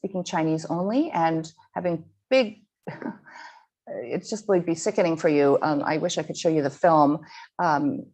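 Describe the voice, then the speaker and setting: Woman guest speaker, slightly lower voice, earnest, fumbles and restarts while walking through slides. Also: a little mellow. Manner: earnest, halting with frequent ums and restarts. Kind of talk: online slide presentation to a school audience.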